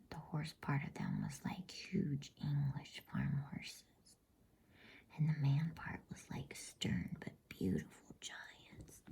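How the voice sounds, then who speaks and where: A woman reads a storybook aloud as ASMR in a hushed, unhurried, breathy whisper meant to soothe. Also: sits low. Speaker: reader of bedtime stories.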